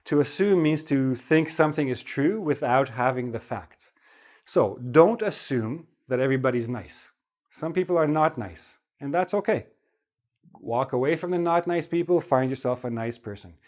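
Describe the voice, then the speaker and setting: A man's voice: medium pitch, moderate pace. Man, English lesson, casual and talkative, average voice. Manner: relaxed, chatty, repeats "okay?" to check in. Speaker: English teacher.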